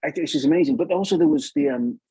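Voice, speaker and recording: A man's voice: a little high, animated, fast, creaky, warm tone, husky, no echo, low-quality recording. A man with an English accent talking casually and enthusiastically as an interview guest, rambling and often repeating words. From England. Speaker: puppeteer, podcast guest.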